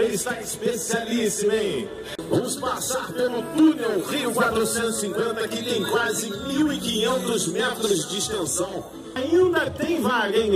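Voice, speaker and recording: A man announcing a running event outdoors in a hyped, projecting manner with drawn-out words. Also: very high voice, distant mic. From Brazil. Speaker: race announcer. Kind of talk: live sports event announcing. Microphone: handheld microphone. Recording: outdoor PA, loud music and crowd.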